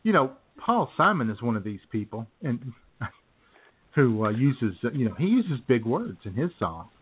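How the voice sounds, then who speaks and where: A man co-hosting a radio comedy show in casual banter, jokey and a little halting, often repeating his phrases. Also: mid-range voice, very animated, slight background noise. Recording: muffled phone-like internet radio audio.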